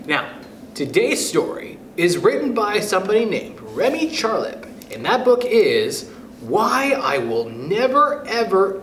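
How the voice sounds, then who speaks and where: Male children's storytime reader, higher-pitched and very animated, addressing kids directly, recorded in a roomy space. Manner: expressive, addresses young listeners directly. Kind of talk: picture book read-aloud for children. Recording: roomy echo with faint background noise.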